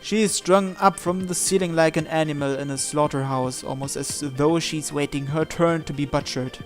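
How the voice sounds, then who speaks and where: A man's voice: on the high side, steady tone, moderate pace. A man reading a visual novel aloud as dramatic narration, in a theatrical, suspenseful manner. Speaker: visual novel let's player. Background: game music.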